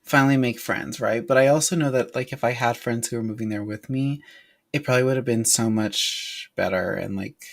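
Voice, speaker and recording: A man's voice: mid-range voice, brisk, creaky. Man off camera, casual chat, rambling, lots of like. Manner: rambling, fills with "like" a lot. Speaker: camera operator and co-host.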